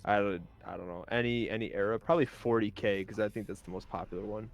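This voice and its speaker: A man's voice: lowish voice, steady tone, quick pace. A man chatting casually as a panelist on a livestream round table, often repeating words as he starts. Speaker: panelist in a video game discussion.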